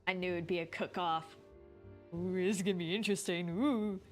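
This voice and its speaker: A woman's voice: lowish voice, fairly even intonation, brisk. A woman streamer giving casual, rambling, informal live commentary on a game. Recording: music behind the voice.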